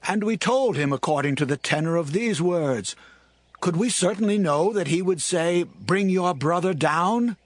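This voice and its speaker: An actor playing an earnest grown son in a dramatized Bible story, speaking urgently in a declamatory style. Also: male voice, high-pitched, animated, moderate pace. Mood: defensive. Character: earnest adult son pledging to his father. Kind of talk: dramatized Bible audio acting.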